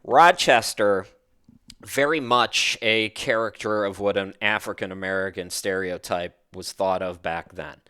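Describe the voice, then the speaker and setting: A man's voice: on the low side, unhurried, pushed and bright, clean tone. A man hosting a podcast, chatting casually and wandering from one thought to the next. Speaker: podcast host.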